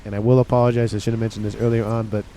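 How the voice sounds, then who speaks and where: Male game caster, nasal from a cold, mellow laid-back play-by-play. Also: lowish voice, rapid-fire, slight background noise. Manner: relaxed, rambling, talks to viewers. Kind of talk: casual play-by-play commentary.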